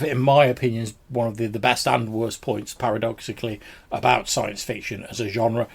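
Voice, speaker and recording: A man hosts a gaming podcast and talks through listener calls in a loose, meandering way. His voice is gravelly and a touch husky, at a middle pitch. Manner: rambling, many fillers like "sort of". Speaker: podcast host. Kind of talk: casual chat answering voicemails.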